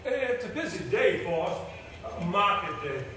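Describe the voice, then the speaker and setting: An actor playing a reserved, evasive small-town agent on stage, with a clipped, formal, hesitant manner. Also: male voice, high voice, big pitch swings, measured pace, sharp tone, full character voice. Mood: hurried, awkward. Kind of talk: stage play acting. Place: indoor stage, recorded from afar. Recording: very echoey hall, distant mic, hiss.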